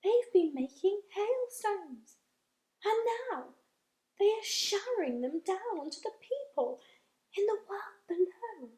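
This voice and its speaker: A woman voicing an excitable young boy who speaks in urgent, breathy whispers. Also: extremely high pitch, takes it slowly, voice pushed up, full character voice. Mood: excited whisper. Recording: echoey room.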